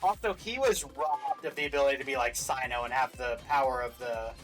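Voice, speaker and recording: A man's voice: mid-range voice, a little fast, a bit bright. A man co-hosting a reaction show, chatting casually about what is being watched. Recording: game trailer music in background.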